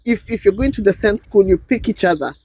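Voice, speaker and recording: A middle-aged Kenyan woman talks easily in an interview, dropping "um" often and laughing between thoughts. Her pitch sits in a middle range. A clear hiss of noise runs under her voice. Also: animated, fast. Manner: warm, laughs often, many fillers. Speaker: guest. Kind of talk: casual interview chat. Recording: noisy background.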